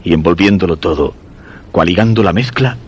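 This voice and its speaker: An actor playing a weakened, dread-stricken husband narrating a macabre tale in grave, measured Castilian Spanish for a radio horror drama. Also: male voice, sits low, a little hiss or hum, natural voice. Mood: grim, weary. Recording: dull radio sound with music underneath.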